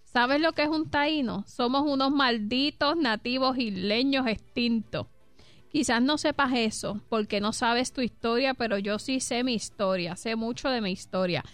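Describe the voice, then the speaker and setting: A woman's voice: a little high, normal speed, clean tone, no echo, soft background music. A Puerto Rican woman co-hosting a radio show, reading quotes aloud and laughing as she talks. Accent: Puerto Rican Spanish. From Puerto Rico. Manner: reads quotes aloud, laughs while talking. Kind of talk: casual radio banter.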